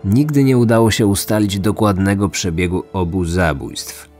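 A man's voice: low-pitched, steady tone, normal speed, darkish tone. A man narrating a scripted true-crime podcast. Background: faint music.

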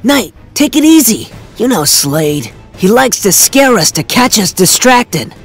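A woman acts a young superhero teammate in a game roleplay. She is calm and dismissive, with a medium, very varied voice and soft music behind. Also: mid-range voice, unhurried, slightly rough, full character voice. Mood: unconcerned.

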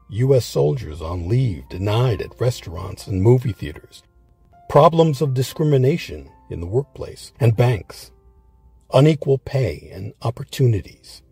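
A man with a husky, gravelly voice reading scripted documentary narration. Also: fairly low pitch, very animated, measured pace, a little mellow. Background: faint music.

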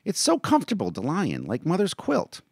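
A man voicing an earnest, polite eight-year-old boy who cries easily, in a high childlike voice. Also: huge pitch swings, a little fast, gravelly, slightly rough, no room sound, clean studio sound, exaggerated voice. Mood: pleading child.

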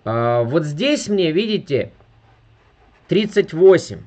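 A man's voice: fairly high pitch, very animated, slow pace, darkish tone. A man in his thirties giving an emphatic sales presentation over a video call, constantly appealing to his viewers. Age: 30s. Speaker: network marketing presenter. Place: indoor room with furniture.

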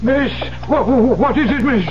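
A man's voice: very high voice, moderate pace. An actor playing an alarmed, stammering male servant in a 1970s radio drama. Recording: muffled old radio, faint hum.

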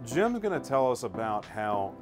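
A man's voice: mid-range voice, very animated, moderate pace. A man hosting a casual product tour, informal and enthusiastic in his way of talking. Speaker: host and interviewer. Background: music.

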